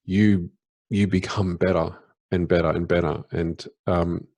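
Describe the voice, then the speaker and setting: A man with a fairly low voice co-hosts a relaxed podcast conversation. He is reflective, harping on his point with small restarts and stumbles. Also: measured pace.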